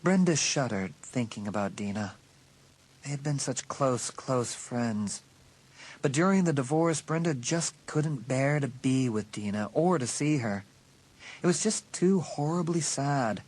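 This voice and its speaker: A man's voice: medium pitch, lively intonation, measured pace, darkish tone, poor audio. A man giving a dramatic, scripted narration of an audiobook story.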